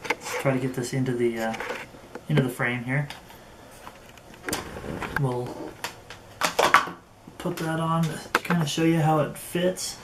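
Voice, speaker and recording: A man giving a casual hands-on product review, speaking in a halting way with pauses mid-phrase. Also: medium pitch, steady tone. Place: indoors in an echoey room. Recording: roomy echo, faint background noise.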